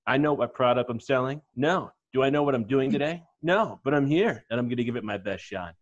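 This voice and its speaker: A man's voice: mid-range voice, lively intonation, a little fast, lightly acted. A man playing a laid-back, airheaded, self-assured male model in a comedy script read over video call. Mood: earnest, breezy. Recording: dull video call audio.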